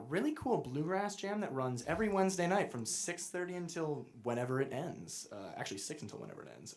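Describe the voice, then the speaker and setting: Young man with deadpan self-mocking humor. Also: medium pitch. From Montana. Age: young adult. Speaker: guest musician. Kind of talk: casual joking interview.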